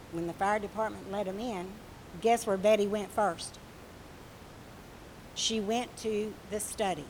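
A woman teaching a children's church lesson with a raspy voice, telling a story expressively with questions to the children. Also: mid-range voice, a little mellow, a little hiss or hum, low-quality recording.

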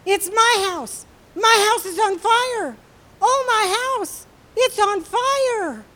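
A woman voicing a frantic young woman whose house is on fire, crying out in a high, loud, raspy voice. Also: animated, takes it slowly, exaggerated voice. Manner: shrill, pleading cries.

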